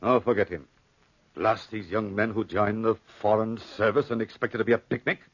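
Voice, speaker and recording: A man playing a gruff, scornful army major in a 1940s radio drama, brusque and commanding. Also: lowish voice, fairly even intonation, fairly quick, no echo. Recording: muffled, dry 1940s radio recording.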